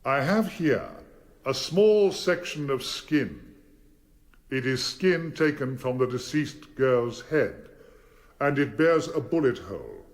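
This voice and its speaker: A theatrical, animated barrister. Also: male voice, mid-range voice. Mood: coolly probing. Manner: theatrical, deliberate, probing. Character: formidable male barrister cross-examining witnesses, theatrical and insistent. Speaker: defence barrister. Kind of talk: courtroom radio drama acting.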